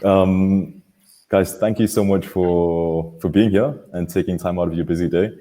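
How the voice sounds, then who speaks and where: A man's voice: low-pitched, fairly even intonation, unhurried, dark tone. A man hosting an online panel in a casual, informal way, speaking somewhat hesitantly on a video call. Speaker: host of an online panel webinar. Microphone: computer video call.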